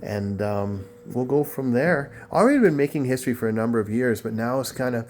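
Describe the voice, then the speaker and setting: A man's voice: medium pitch, animated, brisk, darkish tone, slight background noise. A man from Jamaica, talking in a rambling, digressive way as he chats to the camera in a casual vlog. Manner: rambling, digressive, self-promoting. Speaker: vlogger, music school owner.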